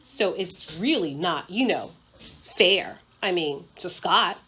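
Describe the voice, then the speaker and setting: A woman's voice: on the low side, animated, slow, sharp tone, breathy edge, heavily acted, poor audio. A woman voicing a restless, bored, blunt schoolgirl in a children's storybook, with a whiny, pointed way of talking. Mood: critical. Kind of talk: children's storybook read-aloud.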